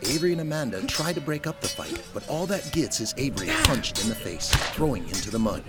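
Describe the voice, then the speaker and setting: A man's voice: medium pitch, very animated, quick pace, loud music behind the voice. A man reading scripted narration for an audio drama, with noise behind him. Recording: clear background noise.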